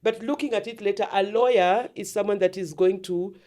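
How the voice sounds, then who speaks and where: Deep-voiced talkative woman. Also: fairly quick, dark tone. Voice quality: deep, heavy voice. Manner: talkative, storytelling, mixes in Swahili words. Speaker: podcast host and therapist. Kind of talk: casual conversational interview.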